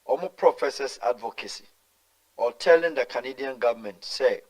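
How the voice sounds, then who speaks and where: A man's voice: medium pitch, moderate pace, rough recording. A middle-aged Ghanaian man answering in an interview, talking emphatically and mixing in Twi, with stumbles and repeated words. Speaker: guest.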